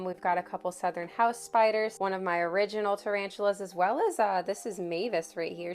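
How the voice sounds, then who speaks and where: A woman giving a casual, enthusiastic tour of her pet room, her voice a little hoarse. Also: mid-range voice. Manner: chatty and enthusiastic, with little laughs. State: slightly hoarse, recovering voice. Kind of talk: casual home tour vlog.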